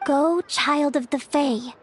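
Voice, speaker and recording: A woman voicing an earnest, bright young fae changeling in a video game, telling things in an articulate, expressive way. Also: sits high, slow pace, a little hiss or hum. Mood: wistful. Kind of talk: video game character dialogue.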